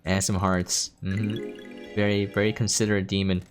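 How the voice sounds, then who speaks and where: A man's voice: low-pitched, flat delivery, moderate pace. A man giving casual gameplay commentary, explaining and thinking aloud as he plays. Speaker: game streamer. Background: game music.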